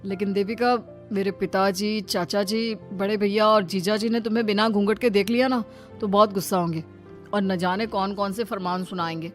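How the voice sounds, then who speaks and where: A woman voicing a conservative, admonishing village man in an animated family story. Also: medium pitch, normal speed, soft background music, close to an everyday voice. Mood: pleading. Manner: admonishing, insistent. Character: conservative village husband and stern menfolk, scolding and pleading.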